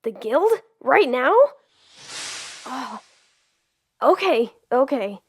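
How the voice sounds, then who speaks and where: A woman's voice: sits high, expressive, drawn-out words, husky. A young woman voicing a nervous, upbeat lead in a comic dub, with lots of fillers.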